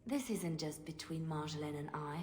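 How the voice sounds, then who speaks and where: A woman's voice: low voice, normal speed, darkish tone, lightly acted. A woman playing a guarded, defiant young female bard in video game dialogue, with pointed questioning. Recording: echoey hall reverb with music.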